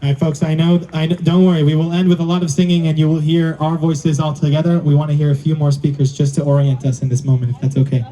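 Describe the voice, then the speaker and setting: A quick-talking man with a dark, mellow voice introduces the next speaker. He sounds brisk and reassuring, heard from a distance at an outdoor rally. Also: a little high, flat delivery. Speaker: emcee.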